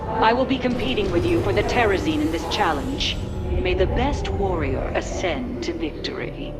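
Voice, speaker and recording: A woman's voice: on the low side, moderate pace, natural voice. A woman voicing a proud, hostile female alien warrior in a video game, with a haughty, declamatory manner. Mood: scornful. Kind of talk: video game cinematic voice acting. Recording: loud music behind.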